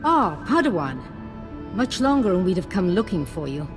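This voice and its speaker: A woman's voice: on the low side, sing-song, moderate pace, music in the background. A woman playing a scholarly, single-minded Jedi master in a video game, earnest and instructive. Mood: intent. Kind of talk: video game dialogue acting.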